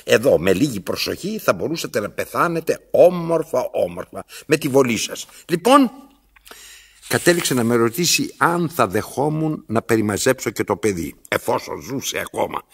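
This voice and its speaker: An actor playing an imperious, pompous old tyrant in a radio play, declaiming with self-interrupting asides in a gravelly voice. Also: male voice, mid-range voice, sing-song, fairly bright tone, full character voice. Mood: brooding. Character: imperious, theatrical old male tyrant telling his story. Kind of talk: radio theatre acting.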